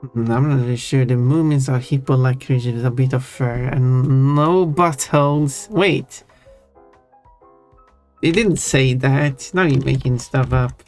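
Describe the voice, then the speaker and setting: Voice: mid-range voice, measured pace, darkish tone. A live streamer chatting casually while drawing, rambling and correcting themself with small giggles. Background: faint background music.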